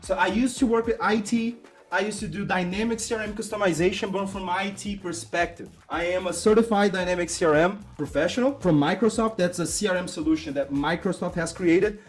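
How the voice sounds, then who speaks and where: A man's voice: high-pitched, fairly quick, faint music behind. A man from Brazil, hosting and giving an upbeat, technical self-introduction in a casual presentation. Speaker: host.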